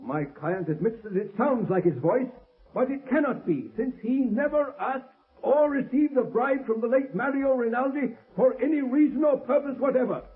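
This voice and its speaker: An actor playing a formal, insistent defense lawyer in a 1930s radio courtroom drama. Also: male voice, extremely high pitch, normal speed. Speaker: defense counsel. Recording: tinny scratchy 1930s radio recording.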